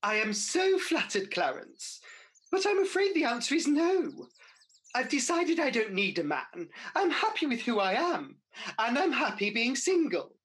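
Woman doing kindly british panto dame, high husky voice, swoops all over, chatty and theatrical. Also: big pitch swings, unhurried, heavily acted. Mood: flattered, coy. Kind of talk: radio pantomime acting.

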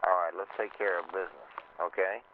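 A man with a fairly low voice talks casually over a narrow phone line with faint noise. His delivery is halting and feigns innocence, as a prank caller. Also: fairly even intonation. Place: telephone conference bridge. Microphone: telephone.

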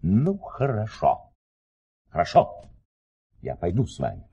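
Sceptical, grumbling nobleman for radio drama: very low, relaxed voice, theatrical formality. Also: measured pace, husky, dull audio, full character voice. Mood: protesting. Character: haughty sceptical aristocratic count, irritated and grumbling. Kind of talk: Soviet-style radio play acting.

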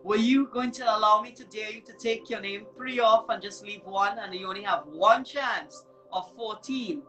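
A woman's voice: medium pitch, moderate pace, a bit bright, rough recording. A woman hosting a casual live online show, speaking in an effusive, encouraging way and often repeating herself. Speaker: show host. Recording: music in the background. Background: music.

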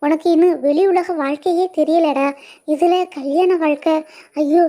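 A woman's voice: extremely high pitch, steady tone, normal speed, dark tone. A woman voicing an anxious middle-aged mother who argues in an upset, protective way. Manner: upset, pleading.

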